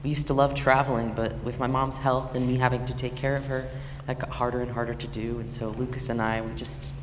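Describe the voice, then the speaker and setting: A woman's voice: very deep voice, little pitch movement, a little fast. A woman playing a wry, divorced schoolteacher in a stage play, chatty and candid. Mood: wistful. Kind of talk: musical theatre staged reading, conversational. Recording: echoey hall, faint noise.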